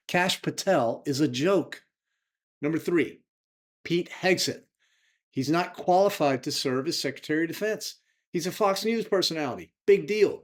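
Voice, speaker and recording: Casting: American male activist host, slightly high voice, indignant and emphatic delivery. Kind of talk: impassioned spoken political advocacy.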